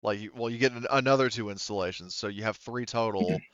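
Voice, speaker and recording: A man's voice: lowish voice, fast, fairly bright tone, smooth, no echo, lo-fi sound. A man running a tabletop game as game master, explaining rules in an informal, conversational way.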